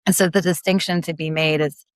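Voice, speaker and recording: A woman osteopath from Belgium, raised in London, with a blended American, Belgian and British accent, explaining her work in measured terms in a podcast interview. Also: low-pitched, fairly even intonation, dry recording. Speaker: manual osteopath guest.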